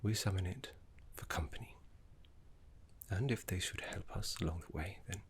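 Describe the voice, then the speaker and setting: Casting: male actor as courteous scholarly necromancer mentor, very low-pitched, breathy half-whisper, reverent and soothing, game-inspired ASMR roleplay. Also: natural voice. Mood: earnest, reverent. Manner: unhurried, refined, reassuring, deliberate pauses.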